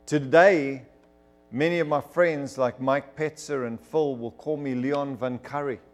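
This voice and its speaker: A man's voice: mid-range voice, fairly even intonation, measured pace, faint music behind. A middle-aged man from Zimbabwe, preaching a sermon as emphatic storytelling with bursts of fervor. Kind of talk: sermon testimony to a congregation.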